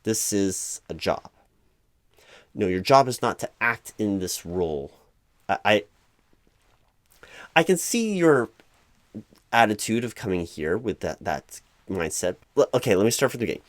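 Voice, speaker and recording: A man playing a polite, flustered, bureaucratic recruiting manager in a spoken interview roleplay, haltingly repeating himself and over-explaining. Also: low-pitched, a little mellow, no room sound. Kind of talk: one-sided ASMR interview roleplay, spoken aloud without whispering.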